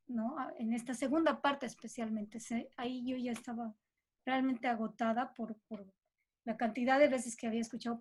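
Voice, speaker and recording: Higher-voiced Bolivian woman, chatting informally. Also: a little mellow. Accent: Bolivian Spanish. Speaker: composer, interview guest. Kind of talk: casual conversational interview.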